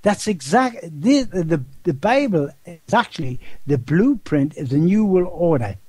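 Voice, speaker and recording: A man's voice: on the high side, very animated, unhurried, mellow, slightly rough, no room sound. An older man with a Geordie accent, a guest in an interview, talking in a rambling way with repeated words and rhetorical questions. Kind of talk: casual phone-style interview talk.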